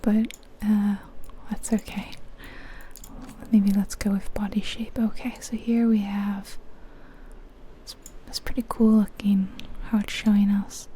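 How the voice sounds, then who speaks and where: A woman whispering softly and breathily in an unhurried, musing ASMR commentary on a video game. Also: medium pitch, level tone, a little hiss or hum. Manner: hushed, unhurried, musing aloud.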